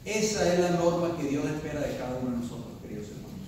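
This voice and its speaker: A man's voice: on the high side, animated, fast, a little mellow, slight background noise. A man preaching a sermon as pastor, building to emphatic peaks and asking the congregation questions. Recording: echoey hall, voice from far off.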